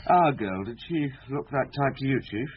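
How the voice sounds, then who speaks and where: An actor playing a keen, respectful young police inspector in a radio drama. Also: male voice, mid-range voice, animated, normal speed, natural voice. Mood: eager. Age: young adult. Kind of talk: British radio drama acting. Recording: dull, hissy radio drama recording.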